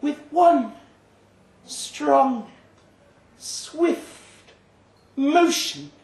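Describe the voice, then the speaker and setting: A man voicing a sinister, condescending Frankenstein's monster doctor in a dramatic story reading, rasping, precise and deliberate. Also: very high-pitched, animated, a little mellow, pitched above the performer's own voice, heavily acted. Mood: lecturing, clinical. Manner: slow, deliberate, precise, patronising. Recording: echoey live room.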